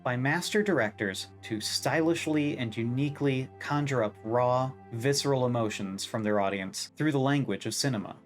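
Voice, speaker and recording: Expressive video essayist with music underneath. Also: mid-range voice. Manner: rambling, self-deprecating, swears casually. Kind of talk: scripted video essay narration with casual asides.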